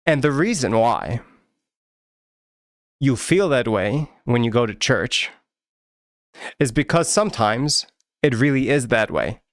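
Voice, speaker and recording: Casting: American male host, smooth clear voice, dry close-mic sound, earnest and candid commentary. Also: mid-range voice, animated, slow pace, long pauses, no room sound. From United States. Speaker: YouTube channel host. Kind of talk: personal commentary to camera.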